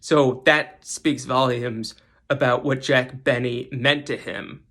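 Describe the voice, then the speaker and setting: A man's voice: mid-range voice, steady tone, takes it slowly, sharp tone. A man who hosts a podcast, giving casual, emphatic commentary and often repeating words for stress. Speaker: podcast host giving a guest segment.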